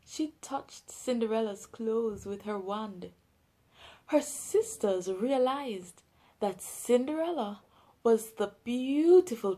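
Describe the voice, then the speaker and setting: A woman with a Jamaican accent narrating a children's storybook in an expressive, deliberate way. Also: a little high. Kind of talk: scripted storybook read-aloud for young children.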